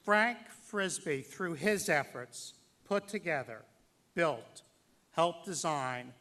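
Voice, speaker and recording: A man giving a formal tribute speech as master of ceremonies at a banquet, speaking in a deliberate, emphatic way with dramatic pauses. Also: on the high side, fairly bright tone.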